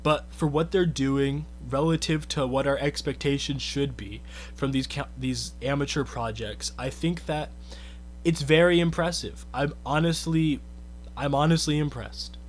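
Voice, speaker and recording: A man's voice: mid-range voice, unhurried, a little hiss or hum. A man giving a casual, earnest commentary rant, thinking aloud with lots of "I think" and "you know". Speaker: YouTube commentator.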